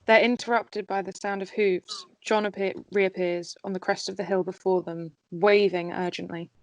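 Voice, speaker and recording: A woman reads scripted stage directions as the narrator of an amateur table read. Her pitch is middling and the sound is dry and close. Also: steady tone, moderate pace, no echo, lo-fi sound.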